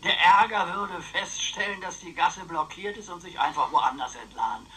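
An actor playing a wily, talkative old uncle in a German radio crime drama, excitable, teasing and boastful. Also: male voice, high voice, normal speed. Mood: conspiratorial, gleeful. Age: older. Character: wily, boastful, chatty old uncle, retired wartime planner.

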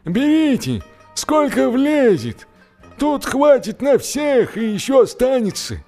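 An old lock keeper in a comic storybook, speaks in a high, lifted voice. He is gruff and blunt, hitting his words with loud emphasis and little patience. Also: sing-song, slow, soft background music, heavily acted. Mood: cool, matter-of-fact. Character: old male lock keeper, cool and gruff, easily exasperated.

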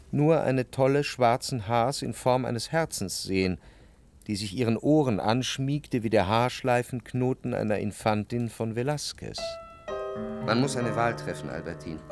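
An introspective, indecisive young man telling his story in a literary radio drama. He speaks in a measured, reflective manner at a middling pitch, with instrumental music clearly behind his voice. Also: a little mellow. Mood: contemplative. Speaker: first-person narrator in a radio drama.

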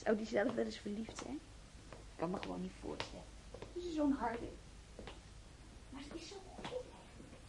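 High-voiced girlish nurse, noisy recording. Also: vocal fry, full character voice. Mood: lovestruck musing. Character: brisk young lovestruck female nurse, dreamy and impatient. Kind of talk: radio drama acting. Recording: noisy old radio play recording.

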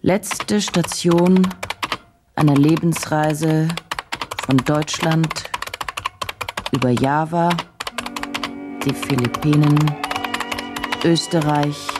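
A woman's voice: deep voice, fairly even intonation, drawn-out words, pauses often, music in the background. An actress playing a driven, self-questioning woman journalist, terse and introspective, in a radio drama inner monologue. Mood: sober, reporting.

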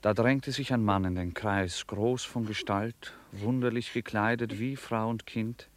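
Low-voiced male narrator, measured and formal. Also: steady tone. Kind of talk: scripted literary narration for radio drama.

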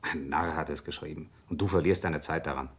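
An actor playing a stern, upright shopkeeper and husband in a radio play, measured, gruff and authoritative. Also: male voice, extremely low pitch, fairly even intonation, full character voice. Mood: suspicious. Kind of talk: German radio play acting.

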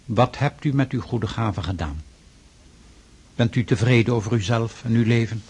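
An actor playing a stern, pious Russian freemason in a radio drama, speaking formally and insistently with a husky voice. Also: male voice, medium pitch, a little mellow. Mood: solemn, preaching. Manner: formal, measured, preaching, insistent.

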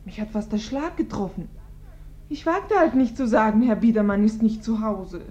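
Actress as nervous, apologetic housemaid for radio play. Higher female voice, gentle dark tone, deferential manner; band-limited sound. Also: normal speed, dull audio, close to an everyday voice. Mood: apologetic, flustered. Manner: deferential, anxious. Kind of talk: German radio play acting.

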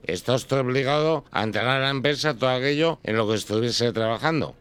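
A man's voice: mid-range voice, brisk, a bit bright, smooth, natural voice. Man acting a stern, formal company boss. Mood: formal, curt. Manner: curt, bureaucratic, formal. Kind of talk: Spanish audio drama acting.

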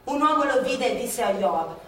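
Stage drama: spirited, exasperated Milanese working-class woman, played by an actress, high voice, emphatic delivery, heavy room echo. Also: normal speed, recorded from a distance, lightly acted. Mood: eager recounting. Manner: emphatic, animated storytelling. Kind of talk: live stage monologue and dialogue, theatrical. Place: indoor theatre stage, distant microphone. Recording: roomy theatre recording from afar, faint noise.

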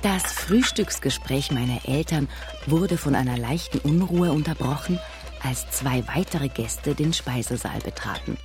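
An actress playing a grown woman who recalls her girlhood in measured, literary radio drama narration. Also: a bass voice, expressive. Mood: reflective, composed. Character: adult female narrator recalling her girlhood, composed storyteller. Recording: studio radio drama with music.

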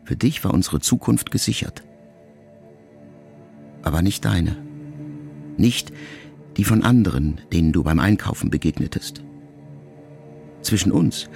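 Male audiobook narrator, very low, heavy gravel, unhurried and grave over quiet music. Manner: slow, solemn, reflective delivery with long pauses. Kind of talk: scripted literary narration.

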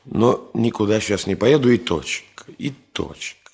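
An actor in a Russian radio drama playing a stubborn, ironic engineer with a husky voice and a dry, mock-polite manner. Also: male voice, lowish voice, expressive, moderate pace, darkish tone, full character voice. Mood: firm, ironic. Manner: dry irony, mock-polite, emphatic repetition. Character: stubborn, ironic ailing engineer, busy with unfinished work. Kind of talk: 1970 Soviet radio drama acting.

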